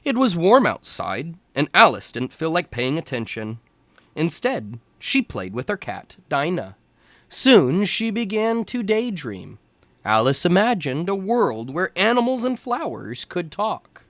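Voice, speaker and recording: Guy teacher reading a kids storybook out loud, really slow, dry close sound. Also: fairly high pitch, very animated, dry recording. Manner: slow, deliberate phrasing for young listeners. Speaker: teacher reading aloud to young children. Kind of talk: storybook read-aloud.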